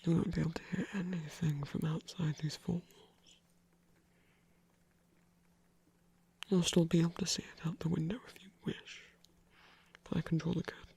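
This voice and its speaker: A man voicing a gentle trickster god in an ASMR roleplay, whispering slowly and soothingly in a breathy, creaky voice with refined, hesitant phrasing. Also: a little high, flat delivery, full character voice. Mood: tender, reassuring.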